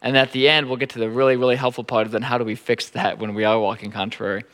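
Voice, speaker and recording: Bright, clear-voiced Nebraskan pastor preaching. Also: male voice, medium pitch, flat delivery, long reverb tail. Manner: halting, repeats words often. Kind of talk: sermon.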